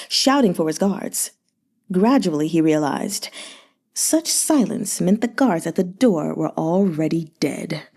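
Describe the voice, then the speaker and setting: A woman's voice: mid-range voice, mellow. A woman reading a novel aloud expressively, sometimes adding brief asides of her own. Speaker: audiobook reader.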